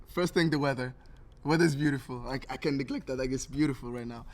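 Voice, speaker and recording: A man's voice: medium pitch, normal speed. A young man from Tanzania talking casually and earnestly as an interview guest, thinking things through. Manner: reflective, earnest.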